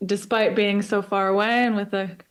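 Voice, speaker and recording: A young woman in her twenties speaks over a remote video link, her voice smooth and clear at an ordinary middle pitch. She talks in a considered way, with frequent ums and small restarts. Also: level tone, moderate pace. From Israel, raised in the US. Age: 20s. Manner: thoughtful, many ums and restarts. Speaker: guest speaker. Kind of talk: personal talk over video call. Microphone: remote video call.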